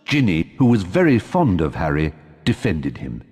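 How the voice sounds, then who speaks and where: A man's voice: low voice, huge pitch swings, normal speed, darkish tone, slightly rough, faint music behind. A man reading scripted storybook narration in a recording with long reverb.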